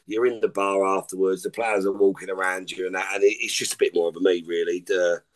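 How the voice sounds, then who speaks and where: A man's voice: low voice, level tone, fast. A middle-aged man, a podcast guest chatting in a loose, rambling way, often saying "like I say" and "listen". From England. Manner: rambling, informal, repeats "like I say" and "listen". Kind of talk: casual chat.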